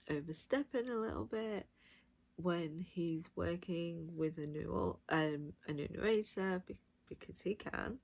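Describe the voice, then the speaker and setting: A woman giving a casual, unscripted review of audiobooks, gushing and emphatic, speaking in short, halting phrases. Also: lowish voice, creaky, darkish tone.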